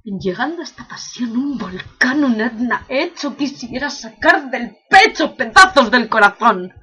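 A woman's voice: high-pitched, pushed and bright, slightly rough, lo-fi sound, full character voice. A woman playing an anguished imprisoned prince in a declamatory, impassioned classical verse monologue, bitterly questioning heaven. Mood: impassioned outrage.

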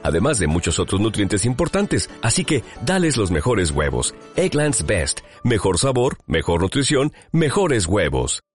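A man's voice: on the low side, huge pitch swings, unhurried, soft background music. A man reading a scripted advertising voice-over as an announcer.

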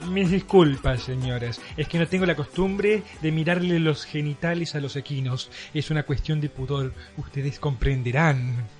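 Prim, snobbish nobleman, acted by a man, highish voice, mannered politeness, radio farce. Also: moderate pace, hardly any pauses, faint music behind, natural voice. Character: prudish, genteel, snobbish aristocratic lord. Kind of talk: comic radio-play acting.

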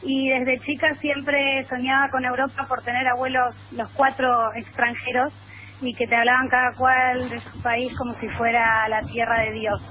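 A woman's voice: high-pitched, little pitch movement, normal speed. A woman in her thirties from Argentina, her accent mixing Argentine and Spain Spanish, telling her story at length as a guest on a radio show. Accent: Argentine with Spanish (Spain) mix. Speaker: guest columnist. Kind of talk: phone interview. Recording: muffled lo-fi line with faint noise.

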